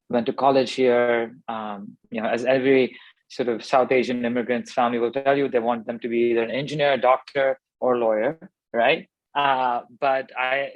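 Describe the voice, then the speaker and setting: A man's voice: mid-range voice, no room sound, rough recording. Thoughtful man from Pakistan. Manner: thoughtful, many fillers and restarts. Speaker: startup founder guest. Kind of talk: conversational interview answers.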